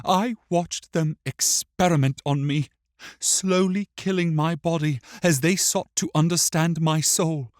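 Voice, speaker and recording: A man's voice: measured pace, no echo, studio quality, close to an everyday voice. A man voicing a frightened, tormented ghost boy in an audiobook, with pleading, emotional delivery. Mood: bitter grief. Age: child. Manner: pleading, emotional, shrill when upset. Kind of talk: dramatic audiobook character acting.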